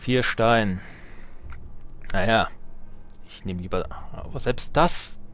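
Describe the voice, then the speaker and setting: Low-voiced man commenting on gameplay. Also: slow, fairly bright tone. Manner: sarcastic, playful muttering to himself. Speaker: let's player. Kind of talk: casual gaming commentary.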